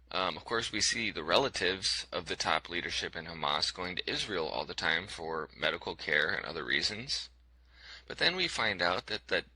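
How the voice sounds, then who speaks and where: A man's voice: sits low, pushed and bright, no room sound, low-quality recording. A man giving a scripted commentary over video clips in a sarcastic, skeptical tone, with frequent fillers.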